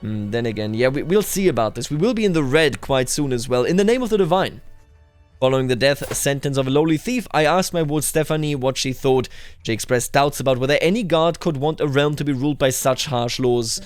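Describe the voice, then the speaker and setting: A man hosting a roleplay game video, commenting in a measured, deliberate way with emphatic repetition, his voice slightly tender. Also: medium pitch, animated, clean tone, faint music behind. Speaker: gaming video host.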